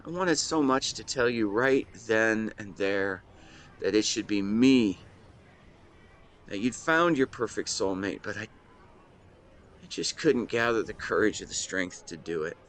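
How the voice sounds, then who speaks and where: A man playing a shy young man in love in a romantic audio roleplay, speaking in an earnest, halting, emotional way. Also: fairly low pitch, expressive, a bit bright. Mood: nervous, earnest. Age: young adult. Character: shy young man tenderly confessing love to best friend.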